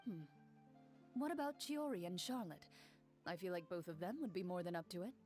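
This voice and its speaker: A woman's voice: lowish voice, lively intonation, a little mellow, lightly acted. Composed, formal young woman played by an actress. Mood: pensive. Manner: measured, formal, matter-of-fact. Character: composed, serious young woman game master, courteous. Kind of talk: animated video game voice acting. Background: game music.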